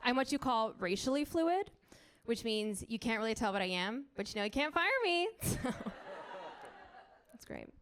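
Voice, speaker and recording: A young woman from Colorado doing a stand-up set on a club stage, wry and punchy, with quick asides to the crowd. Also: on the high side, sing-song, fairly bright tone. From United States (Colorado). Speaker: stand-up comedian.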